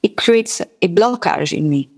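A woman from Mauritius, a native speaker of French and Creole, telling personal stories as a guest musician in a halting, conversational way, often repeating her words. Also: fairly low pitch, expressive, vocal fry. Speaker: guest musician and singer-songwriter. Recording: long reverberant hall sound.

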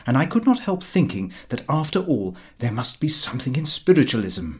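A man reading a comic diary aloud as an audiobook narrator, in expressive scripted narration. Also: mid-range voice.

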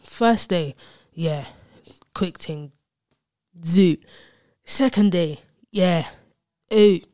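A woman's voice: fairly low pitch, very slow, dark tone, no room sound, studio quality. Chatty young woman. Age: 20s. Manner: chatty, storytelling, slangy. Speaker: podcast host. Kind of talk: casual solo podcast chat.

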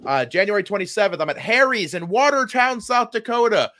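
A man who works as a comedian, co-hosting a podcast with casual, crude joking and a stammering, sometimes loud delivery. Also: sits high, lively intonation, a little fast, dry recording, studio quality. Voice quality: loud, given to yelling. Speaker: stand-up comedian.

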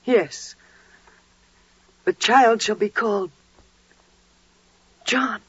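A woman's voice: fairly high pitch, extremely slow, fairly bright tone, husky, no echo, full character voice. An actress playing a devout new mother in 1940s radio drama, earnest and solemn, firm and full of reverent wonder. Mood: firm, insistent. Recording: muffled old 1940s radio recording.

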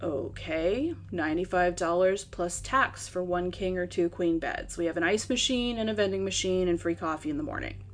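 A woman's voice: fairly low pitch, normal speed, few pauses, faint music behind, lightly acted. Woman voicing a bored, annoyed male motel clerk. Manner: flat, bored, businesslike.

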